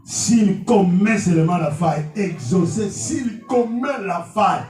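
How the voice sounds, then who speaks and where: A man whose first language is Portuguese, preaching an impassioned sermon in a church and often shouting. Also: high voice, animated, a little slow. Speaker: pastor. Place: church hall. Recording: echoey hall, distant mic, faint music.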